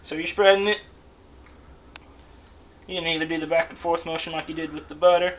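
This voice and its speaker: A man's voice: on the high side, steady tone, brisk. A man giving a casual, joking cooking demonstration in a folksy, slangy, rambling way, recorded in a home kitchen. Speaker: host of amateur comedic cooking show. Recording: distant, dull lo-fi, loud background noise.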